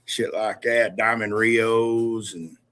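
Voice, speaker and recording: A man's voice: lowish voice, fairly even intonation, takes it slowly, a bit bright. A man in his late forties chatting casually with friends, swearing freely and talking with enthusiasm. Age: 40s. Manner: gravelly, swearing, enthusiastic about country music. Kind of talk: casual chat.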